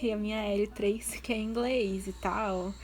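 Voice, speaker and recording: A woman's voice: mid-range voice, steady tone, measured pace, a little mellow, a little hiss or hum. A woman with a Brazilian Portuguese accent, talking straight to her viewers in a casual vlog and pressing her points with repeated questions. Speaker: vlogger voicing her deaf partner's signing.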